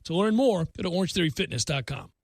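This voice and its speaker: A man giving a sincere, measured testimonial in a radio ad. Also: high-pitched, animated, slight background noise. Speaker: gym member testimonial. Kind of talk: scripted ad testimonial.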